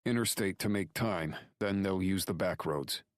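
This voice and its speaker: A man voicing a firm, rough-talking lawman buddy reporting urgently over the phone in an audiobook. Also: low voice, normal speed, no echo, studio quality, voice pushed up, natural voice. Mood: brisk, certain. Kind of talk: audiobook character dialogue.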